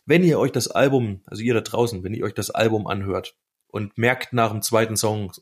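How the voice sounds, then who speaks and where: A man's voice: on the low side, a little fast, husky. A man co-hosting a podcast, chatting casually about music in an enthusiastic, slangy, emphatic way. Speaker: podcast co-host.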